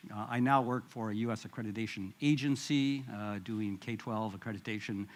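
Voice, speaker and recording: A man's voice: on the low side, brisk. Midwestern American man presenting at a conference. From United States. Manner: friendly, self-deprecating, with frequent fillers. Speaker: conference panelist. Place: conference hall.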